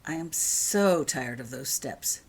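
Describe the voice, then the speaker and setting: Storybook schoolgirl, earnest and open, slightly husky played by a female narrator. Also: rumbling low, expressive, slow, full character voice. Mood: chatty. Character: earnest schoolgirl home for the holidays, attached to her cat.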